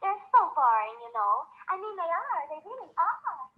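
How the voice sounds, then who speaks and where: An actress playing a young, exasperated, pleading sweetheart in a theatrical 1930s radio comedy. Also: female voice, extremely high pitch, sing-song, normal speed. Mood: affected gaiety. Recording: tinny, band-limited 1930s radio recording.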